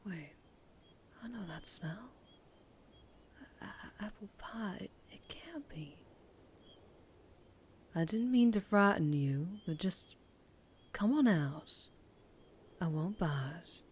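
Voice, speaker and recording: Woman acting mysterious vampire biker lady, kinda low voice, really slow, teasing and threatening, asmr roleplay. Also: darkish tone, a little hiss or hum. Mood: startled, hushed.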